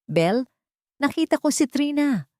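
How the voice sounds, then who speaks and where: A woman's voice: high voice, very animated, moderate pace, mellow, no echo, clean studio sound, pitched above the performer's own voice. A woman voicing a frightened female friend who whispers in a trembling voice. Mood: panicked.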